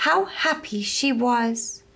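Very slow, gentle young woman storyteller. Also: fairly high pitch, slightly rough, low-quality recording. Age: young adult. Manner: gentle, deliberate, expressive. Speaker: puppet show storyteller. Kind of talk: slow storytelling for small children. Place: small furnished room indoors.

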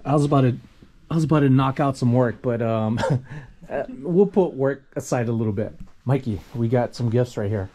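A man's voice: medium pitch, normal speed, mellow. A man in his forties, chatting casually and laughing often while vlogging inside a large clothing store. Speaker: vlogger, thrift reseller. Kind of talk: casual chat.

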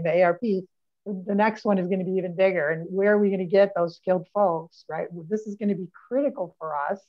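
A woman in her sixties, a state senator, talking animatedly on a panel over a video call from a home office. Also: lowish voice. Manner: animated, gestures a lot while talking. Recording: slightly dull video call audio.

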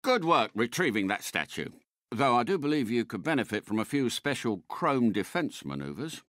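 An actor playing a suave, courteous British spy car in a video game, a polite and unflappable mentor with refined, precise phrasing. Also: male voice, mid-range voice, very animated, moderate pace, a bit bright, no room sound, clean studio sound. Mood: composed, instructive. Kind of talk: scripted game character voice lines.

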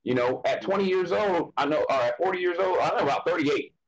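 A man's voice: on the high side, fast talker, rough recording. A man co-hosting a podcast, talking casually and bluntly, swearing freely. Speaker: podcast co-host. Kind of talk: casual chat.

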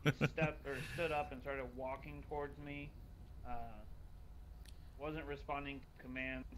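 A man's voice: a little high, little pitch movement, measured pace, a crowd in the background. A man roleplaying a police officer in an online game, giving a hesitant, matter-of-fact incident report. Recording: noisy voice-chat line with game ambience.

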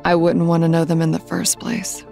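A woman acting a low-voiced, bitter, haughty mother. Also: completely flat delivery, moderate pace, vocal fry, warm tone, soft background music. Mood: guarded, disdainful. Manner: haughty, clipped, evasive. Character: bitter, controlling, image-conscious middle-aged mother. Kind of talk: audio drama dialogue.

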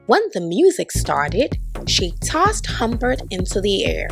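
A woman giving an expressive children's storybook read-aloud, putting on voices for the characters. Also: mid-range voice, measured pace, gravelly, over music. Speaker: storybook reader.

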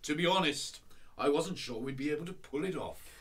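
A man playing a brisk, commanding conspirator with a husky voice in a radio play. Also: on the high side. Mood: businesslike. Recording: slightly distant microphone.

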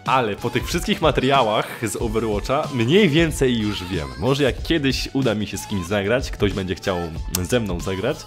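A man's voice: medium pitch, animated, moderate pace, hardly any pauses. A young man chatting casually in a vlog, hesitant and often correcting himself, speaking close to a desktop microphone. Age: young adult. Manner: hesitant, self-correcting, informal with occasional swearing. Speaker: YouTuber and amateur dubbing voice actor answering viewer questions. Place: small room at home, indoors. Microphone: desktop condenser mic with pop filter, close. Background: music.

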